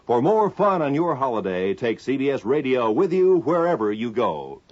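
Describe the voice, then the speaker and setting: A man's voice: mid-range voice, very animated, moderate pace, a little mellow. A man reading a scripted 1950s radio network promo in a polished, salesmanlike way. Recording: dull lo-fi 1950s radio recording.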